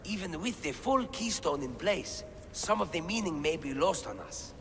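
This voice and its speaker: Eager scholar, game cutscene, very lively intonation, faint background noise. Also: male voice, on the high side, normal speed, big-room sound. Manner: enthusiastic, scholarly, talks up his discoveries. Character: eager male scholar deciphering ancient writing.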